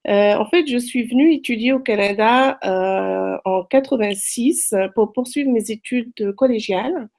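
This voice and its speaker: A woman's voice: fairly high pitch, measured pace, a little mellow. A middle-aged woman from Morocco, a French tutor introducing herself in a hesitant, unscripted way with many fillers. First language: French, also speaks Arabic. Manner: hesitant, frequent fillers and restarts. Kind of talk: self-introduction to prospective students. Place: Quebec, Canada.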